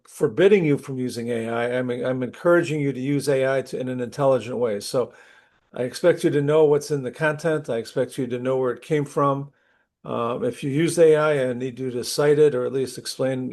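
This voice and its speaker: A middle-aged man, a university instructor, opening an online class session while losing his voice from a cold, talking conversationally and clearing his throat. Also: mid-range voice, a little mellow. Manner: conversational, clears throat often, restarts sentences. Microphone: computer mic on a video call.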